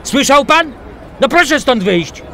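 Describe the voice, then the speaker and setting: Brusque homicide detective, lively intonation. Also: male voice, very high voice, unhurried, some chatter behind, a little hiss or hum, heavily acted. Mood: shouting orders. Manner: brusque, colloquial, swearing. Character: weary, brusque male homicide detective in 1991 Poland. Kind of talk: naturalistic radio crime drama acting.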